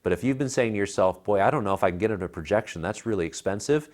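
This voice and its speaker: A man's voice: fairly low pitch, very fast. An American man in his thirties reviewing tech in casual chat, with an emphatic, persuasive, colloquial way of talking. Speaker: tech reviewer, show co-host. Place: living room at home. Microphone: clip-on lapel mic.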